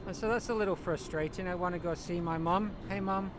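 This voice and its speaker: A man's voice: high voice, steady tone, brisk. A middle-aged man from the north-west of England with an English accent, chatting casually and diplomatically as an interviewee. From Wigan area, north-west England. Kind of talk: casual chat. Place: outdoors in a city. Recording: noisy outdoor street with traffic.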